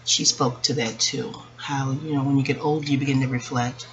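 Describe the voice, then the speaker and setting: Creaky-voiced hushed woman, casual vlog. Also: very deep voice, steady tone, brisk. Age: 40s. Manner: hushed, keeping voice down so as not to wake others; hesitant, digressive. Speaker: video channel host. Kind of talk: rambling reflective casual chat to viewers. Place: at home, quiet dark room. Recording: dull lo-fi audio with faint background noise.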